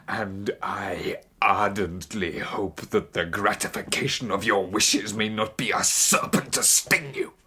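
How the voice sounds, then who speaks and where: Haunted gentleman scientist, grave and intense, bright forceful edge, bit of background noise. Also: low voice, heavily acted. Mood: bitter, sorrowful. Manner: intense, slow, grave, melodramatic. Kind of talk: dramatised audiobook acting.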